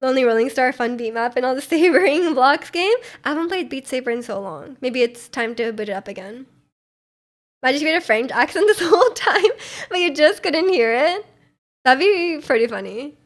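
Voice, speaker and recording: Young woman streamer from Canada, high voice, casual giggly rambling chat. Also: expressive, fairly quick. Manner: rambling, giggly, many fillers and restarts.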